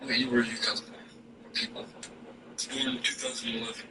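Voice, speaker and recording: A man's voice: mid-range voice, big pitch swings, brisk, creaky. A man on game voice chat, hyped and jokey, repeating himself. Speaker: game player. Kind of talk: excited voice chat while playing. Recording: echoey, distant and noisy mic.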